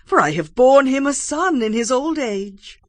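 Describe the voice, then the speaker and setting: Emotional old biblical matriarch. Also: female voice, high-pitched, big pitch swings, unhurried, no room sound, clean studio sound. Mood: joyful wonder. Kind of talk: dramatized scripture acting.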